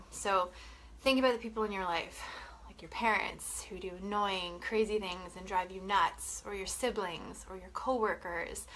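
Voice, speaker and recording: Sniffly young woman with a cold, bright voice, chatty and sweary, faint room hum behind. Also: medium pitch. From Canada. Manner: chatty, swearing, frequent "like" and "you know". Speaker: wellness vlogger. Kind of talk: casual talk to camera.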